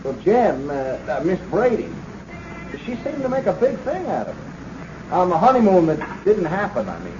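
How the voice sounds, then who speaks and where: An actor with an American accent playing a young, nosy police officer in a 1970s radio drama, folksy and awkward, stammering but dogged. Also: male voice, sits high, huge pitch swings, moderate pace, over music. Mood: casual, hesitant. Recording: muffled, noisy old radio broadcast.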